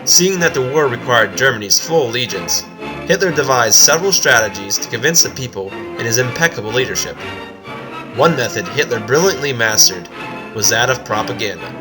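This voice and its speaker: A man's voice: medium pitch, expressive, a little fast, music in the background, rough recording. A man reading a scripted, structured explanatory narration as a video essayist.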